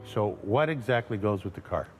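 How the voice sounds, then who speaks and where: A man's voice: on the low side, expressive, moderate pace, music in the background. A man on a car restoration show who talks bluntly, teasing his partner and scoffing at a bad deal. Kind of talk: banter on a reality car show.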